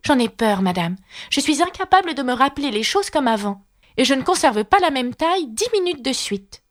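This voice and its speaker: Woman doing a polite little storybook girl, high voice, earnest n wordy, very up and down. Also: very animated, moderate pace. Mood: melancholy. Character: polite, earnest, puzzled young girl, easily irritated.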